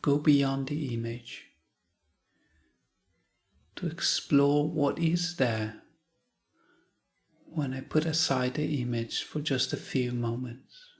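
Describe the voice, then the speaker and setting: A man leading a guided meditation in short, calm phrases with long pauses. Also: mid-range voice, vocal fry. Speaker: meditation teacher.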